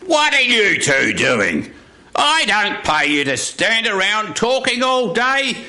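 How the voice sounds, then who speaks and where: A man puts on a pompous office boss for a radio play. He is impatient and blustering, with a sharp, forceful voice that swings wildly up and down. His delivery is slow and grumpy. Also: high-pitched, sing-song, heavily acted. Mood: scolding. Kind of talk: stage radio play acting.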